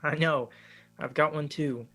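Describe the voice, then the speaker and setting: A high-voiced, awkward young science student, hesitant and self-conscious with a dry sense of humor. He speaks slowly, and a little guitar music plays faintly behind him in this radio play reading. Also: fairly even intonation, fairly bright tone.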